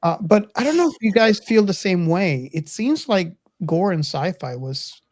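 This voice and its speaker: A man's voice: sits high, lively intonation, moderate pace, darkish tone, no room sound, clean studio sound. A middle-aged man co-hosting a podcast in casual film discussion, reflective and often searching for his words. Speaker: podcast co-host.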